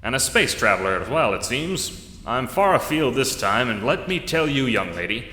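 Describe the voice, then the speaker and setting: A bright, forceful old adventurer, swings boldly up and down in pitch. He is pompous and blunt, talking down to people in dated slang, as in an audio drama. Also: big pitch swings, normal speed, echoey room, big-room sound, a little hiss or hum. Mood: brash, impatient. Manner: blunt, old-fashioned exclamatory slang, condescending. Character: brash, pompous old adventurer man with a rifle.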